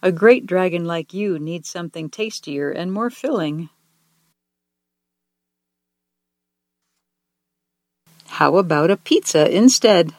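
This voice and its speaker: A woman voicing a small, brave young male owl knight who speaks earnestly and softly. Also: fairly low pitch, animated, moderate pace, no echo, natural voice. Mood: coaxing.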